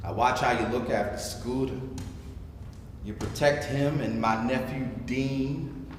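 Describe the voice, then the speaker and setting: A man acting a wise, motherly party hostess with a gentle, folksy manner, in a staged reading in an echoey hall. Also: mid-range voice, unhurried, natural voice. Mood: tender, counseling. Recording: very echoey hall, noisy live stream.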